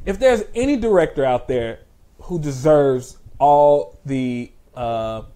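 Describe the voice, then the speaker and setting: A man's voice: medium pitch, animated, slow pace, dark tone. A man co-hosting a film talk show, chatting casually with strong opinions and repeating phrases for emphasis. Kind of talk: opinionated casual chat about movies.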